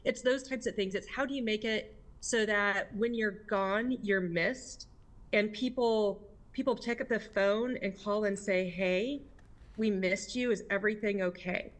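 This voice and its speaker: A woman's voice: mid-range voice, a little slow. Candid American woman giving interview answers. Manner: candid, emphatic, often adds "right?" and rhetorical questions. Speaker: interviewee, district governor of a service club.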